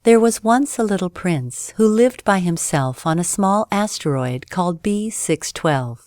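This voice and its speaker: A woman reads a children's bedtime story as narrator. She takes it slowly, with carefully phrased lines and lots of movement in pitch, recorded very dry. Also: animated, a little mellow, no room sound.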